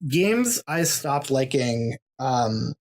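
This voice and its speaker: Male guest, easygoing and expressive, casual podcast chat. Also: medium pitch, extremely slow. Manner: laid-back, laughs often.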